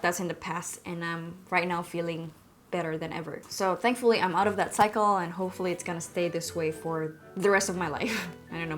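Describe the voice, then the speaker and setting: Female online educator, on the lower side in pitch, casual and frank delivery, light music bed. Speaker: language-learning vlogger. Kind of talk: casual instructional talk to camera.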